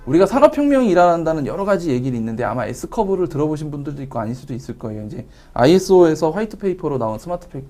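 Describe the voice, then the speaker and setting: A man presents technical material in a webinar. His voice sits a bit high and swings widely in pitch, yet stays soft-edged and mellow as he moves quickly through his explanations. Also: very animated. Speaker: company representative presenting at a webinar.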